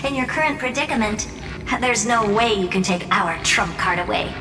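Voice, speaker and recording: An actress playing a confident, mischievous genius in a video game, smug and theatrical. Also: female voice, medium pitch, animated, normal speed, roomy sound, big-room sound, far from the mic, music in the background, lightly acted. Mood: smug, gleeful. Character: confident, mischievous female genius relishing a contest.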